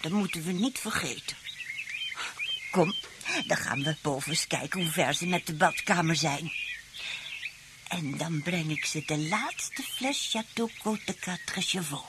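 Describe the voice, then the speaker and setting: A woman's voice: lowish voice, expressive, a little slow, pauses often. An actress playing a sly, vengeful old woman who feigns sweet helplessness, in a radio play. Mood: vengeful, scheming. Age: older. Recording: noisy radio drama recording.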